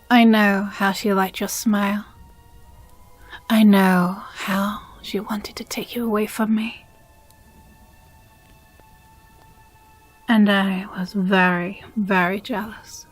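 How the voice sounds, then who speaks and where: A woman playing a possessive, jealous demon girlfriend in an intimate audio roleplay, breathy and often whispering, with condescending sweetness. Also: medium pitch. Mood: quietly menacing. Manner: slow, deliberate, sighing, condescendingly sweet.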